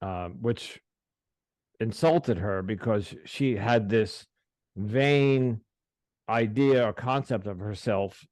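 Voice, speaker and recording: A middle-aged man hosting a podcast, speaking haltingly with many restarts as he opens up about his past. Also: lowish voice, a little mellow, no room sound. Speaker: podcast host. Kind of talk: casual interview, personal disclosure.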